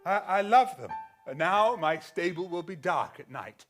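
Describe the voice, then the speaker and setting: A man's voice: sits high, very animated, measured pace, a bit bright, exaggerated voice. A man playing a wounded but earnest talking horse in an improvised stage pantomime. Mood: touched. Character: wounded, forgiving talking horse, heroine's confidant. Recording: music behind.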